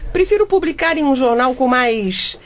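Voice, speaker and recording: A Brazilian woman playing an earnest, exasperated mother and reporter in a comic radio drama, expressive, reproachful and emphatic. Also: sits high, moderate pace. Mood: resolved.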